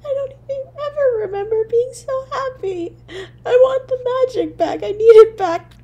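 A woman voicing a poor, lonely little girl in an audio drama, halting and breathy, with childlike stammering. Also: extremely high pitch, warm tone, lo-fi sound. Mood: tearful pleading. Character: poor, lonely, freezing little match girl, timid and yearning. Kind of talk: solo audio drama monologue.

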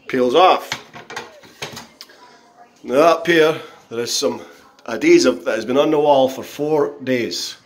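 A man reviewing a contractor's work in a handheld phone walkthrough of a half-tiled bathroom, speaking in a halting, critical way with many pauses. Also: on the high side. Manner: slow, halting, frequent pauses and restarts; disgruntled, critical. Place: bathroom under renovation, indoors. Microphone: phone camera held in hand.

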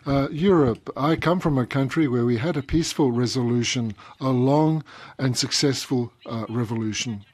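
A man interpreting a speech live into English, hesitant, with frequent pauses and fillers. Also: mid-range voice, darkish tone, no room sound. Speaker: simultaneous interpreter.

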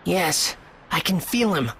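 A woman's voice: fairly low pitch, animated, slow pace, fairly bright tone, a little hiss or hum, full character voice. A woman voicing a plucky, determined young hero in a fantasy video game cutscene. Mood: focused. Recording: music behind the voice.

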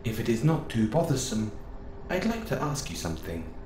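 A man playing a gentle, curious robot assistant who speaks in formal, precise phrases, close and intimate in an audio roleplay. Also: mid-range voice, sing-song, normal speed, natural voice. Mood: gently curious. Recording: roomy sound with clear background noise.

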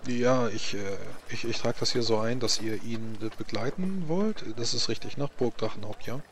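A man's voice: mid-range voice, moderate pace, crowd noise, natural voice. A man playing a flustered, officious scribe in a tabletop game, talking hesitantly and repeating himself. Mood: helpful, fussy. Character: flustered, officious male chancellery scribe, secretive and chatty.

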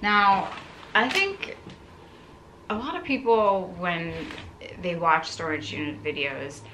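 Bright-voiced woman vlogger, lowish pitch. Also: expressive, takes it slowly, a little hiss or hum, poor audio. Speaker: content creator, video host. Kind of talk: casual unboxing vlog, talking to camera. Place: living room, indoors.

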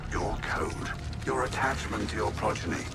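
A man playing an arrogant, coldly grandiose alien mastermind in a video game, speaking in a theatrical, deliberate way. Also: lowish voice, expressive, over loud music, close to an everyday voice. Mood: coldly possessive. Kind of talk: dramatic video game villain monologue.

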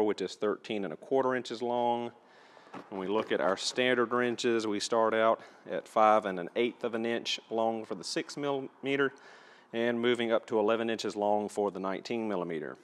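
A man giving a casual, matter-of-fact and methodical product review as he presents tools. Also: on the low side.

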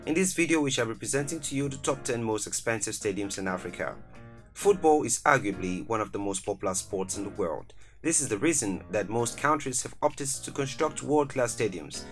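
A man narrating a scripted countdown video in a formal, even reading voice, with each numbered entry stressed. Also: mid-range voice, brisk, faint music behind.

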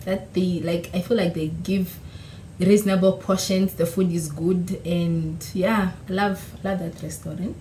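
A woman's voice: fairly low pitch, steady tone, measured pace, dark tone. A young woman from Namibia, whose first language is Oshiwambo, answering questions in a casual, chatty vlog, laughing and correcting herself. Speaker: YouTuber. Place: small room at home, indoors. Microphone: camera in front, seated. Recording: echoey room with faint hiss.

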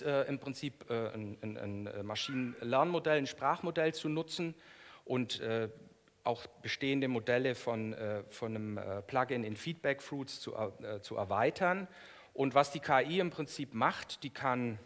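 A middle-aged man speaks as a lecturer, at an average male pitch. He explains in an academic style, halting and restarting often. The sound carries the long reverb of a hall. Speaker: professor giving a keynote. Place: lecture hall in front of an audience. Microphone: headset microphone.

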